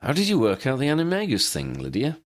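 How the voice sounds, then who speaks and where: A man's voice: mid-range voice, huge pitch swings, quick pace. A man voicing a blunt, informal, puzzled young male friend in an audiobook. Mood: curious.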